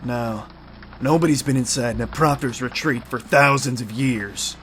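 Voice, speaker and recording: A man's voice: medium pitch, unhurried, fairly bright tone, a little hiss or hum, close to an everyday voice. An actor playing a shrewd, folksy, grizzled leader of vampire-hunting outlaws in a fantasy audio drama, needling as he talks. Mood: sly, bargaining. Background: music.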